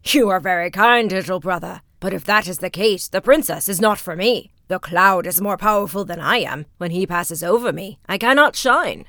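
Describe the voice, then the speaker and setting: A woman voicing a jolly, good-humored male sun in a storybook tale, speaking loudly with amusement. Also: fairly low pitch, moderate pace, hardly any pauses, dry recording, clean studio sound.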